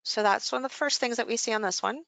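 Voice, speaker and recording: Woman, high crisp voice, slight vocal fry, dry close sound, informal live instruction, conversational. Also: quick pace, fairly bright tone, no echo. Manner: conversational, explanatory, thinking aloud. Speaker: trading coach hosting a live session. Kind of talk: informal live chart commentary.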